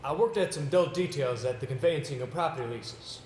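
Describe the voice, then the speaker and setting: A man's voice: a little high, a little mellow, lightly acted. Man acting an earnest young solicitor, echoey stage. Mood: grave, descriptive. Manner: formal, measured, literary. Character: earnest, polite young male solicitor narrating his tale. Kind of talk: amateur stage play narration and dialogue. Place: indoor stage, picked up with room sound. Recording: roomy, noisy amateur theatre recording.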